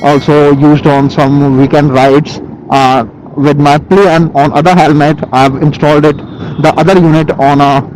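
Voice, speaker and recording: A man with an Indian accent giving a casual, halting product review as a motovlogger, speaking into a helmet intercom microphone. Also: a little high, level tone, darkish tone, rough recording. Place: outdoors, riding a motorcycle. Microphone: Bluetooth helmet intercom microphone. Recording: helmet intercom mic with vehicle noise.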